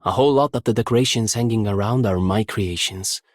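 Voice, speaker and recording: Guy doing chill helpful craftsman from a game, lowish voice, dry studio sound. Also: fairly quick, no room sound, lightly acted. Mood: friendly, obliging. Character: easygoing, obliging male prop craftsman. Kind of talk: scripted game character voice lines.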